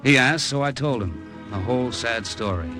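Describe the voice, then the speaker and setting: An actor playing a wry, easygoing middle-aged American gambler, speaking in a laconic 1950s radio drama style. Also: male voice, medium pitch, lively intonation, moderate pace, loud music behind the voice, close to an everyday voice. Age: 40s. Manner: laconic, breezy, conversational. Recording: vintage radio drama recording.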